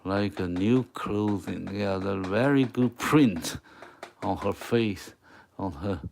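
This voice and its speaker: A man whose first language is Mandarin Chinese, speaking English with a Mandarin accent, teaching chalkboard drawing live in halting, word-searching English. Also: lowish voice, gravelly, darkish tone, a little hiss or hum. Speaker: art teacher.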